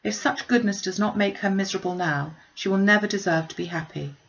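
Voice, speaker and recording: A woman voicing a frank, sceptical young gentlewoman in a classic novel, speaking firmly and with emphasis. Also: medium pitch, a little fast, dull audio, natural voice. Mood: indignant. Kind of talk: classic novel read aloud.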